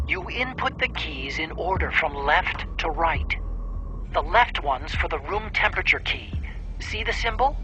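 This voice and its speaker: A man's voice: high voice, pushed and bright, heavily acted, poor audio. A man playing an earnest, eager technician in a video game, patiently explaining things over a radio. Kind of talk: video game cutscene acting.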